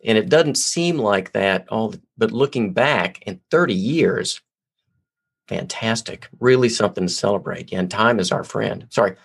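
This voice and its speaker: A man's voice: on the low side, measured pace, vocal fry, breathy edge. A man hosting a podcast, reading an upbeat, promotional intro and chatting with his guest. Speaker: podcast host.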